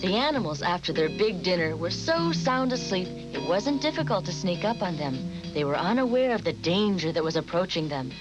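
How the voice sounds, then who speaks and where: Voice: medium pitch, very animated, normal speed, few pauses, rough recording. A narrator telling a fairy tale in a scripted storytelling style. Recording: music behind the voice.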